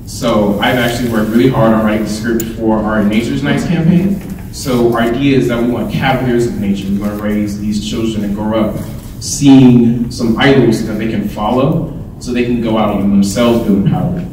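A young man gives an earnest talk to a conference audience, explaining his group's work with the odd um. His lowish, mellow voice comes through a handheld mic and rings around a big hall. The camera is well back from him, and faint room ambience hangs behind. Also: steady tone, normal speed, slight background noise. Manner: earnest, explanatory, with frequent ums. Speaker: student speaker. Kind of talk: conference presentation. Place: large indoor hall with projector screen. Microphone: handheld mic, filmed from far. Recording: roomy hall echo, distant camera.

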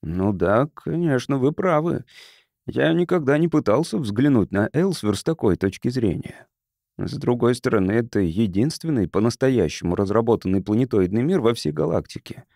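A man's voice: mid-range voice, animated, normal speed, creaky, warm tone, no room sound, studio quality. A man voicing a courteous, curious visiting sociologist in an audiobook, polite and inquisitive. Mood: impressed, courteous. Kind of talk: audiobook character reading.

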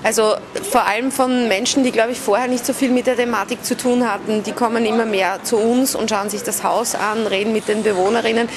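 A woman's voice: a little high, fairly even intonation, fairly quick, hardly any pauses, noisy background. A woman in her thirties, an activist, speaking earnestly and with determination in an interview. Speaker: activist, house organizer. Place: crowded indoor hall. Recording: music behind. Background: music.

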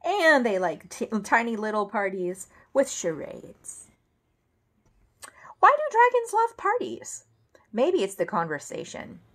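A woman in her thirties reads a picture book to children in a fairly high voice. Her pace is unhurried and her intonation swings widely, with theatrical emphasis on key words. It is an expressive home storytime delivery. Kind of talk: animated read-aloud to children. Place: indoor room at home, seated at a table.

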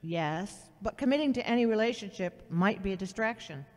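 An actor playing a caring but frank older sister who probes and admonishes, in an amateur audio drama. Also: female voice, medium pitch, lively intonation, normal speed, darkish tone, natural voice. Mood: frank, admonishing. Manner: probing, admonishing. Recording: reverberant hall-like sound.

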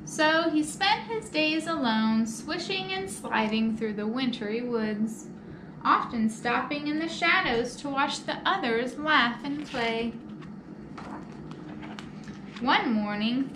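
High-voiced young woman reading slowly to children. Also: lively intonation. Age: young adult. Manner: addresses young listeners with simple questions. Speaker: library storytime host reading to children. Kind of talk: children's picture-book read-aloud. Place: indoor children's library room. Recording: roomy echo, clear background noise.

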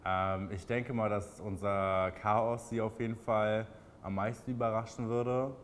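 A man in his twenties with a Berlin accent, answering interview questions in a relaxed, chatty way with many fillers. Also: fairly low pitch, unhurried, over music. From Berlin, Germany.

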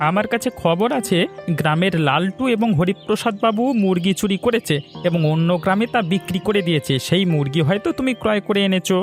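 A man's voice: sits high, a little fast, faint music behind, natural voice. A man voicing a loyal, cautious village friend in a cartoon, earnest and respectful. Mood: confiding. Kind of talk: dramatic cartoon dialogue.

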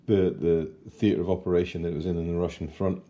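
A man in his forties giving a chatty, unhurried model kit review at his kitchen table. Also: low-pitched, little pitch movement, mellow. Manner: chatty and unhurried, with fillers and self-corrections. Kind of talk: casual unboxing review.

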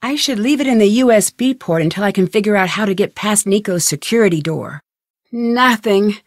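A woman playing a young, inquisitive and determined female amateur detective in a video game, speaking her scripted lines clearly. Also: mid-range voice, animated, fairly quick, no room sound, clean studio sound. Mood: thoughtful. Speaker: voice actress in a detective adventure game.

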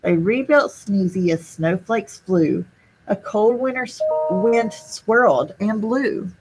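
A young woman with a husky voice reads a picture book aloud to children, pausing to explain it in simple words. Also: medium pitch, faint music behind, low-quality recording. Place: small indoor room.

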